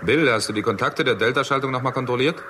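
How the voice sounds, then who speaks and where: A businesslike male technician played by an actor in a sixties radio drama, mid-pitched, over clear noise. He gives short, procedural calls. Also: quick pace, close to an everyday voice. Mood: focused, brisk. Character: brisk, businesslike male technician running equipment checks. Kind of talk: 1960s German radio play acting. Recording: clearly noisy old radio production.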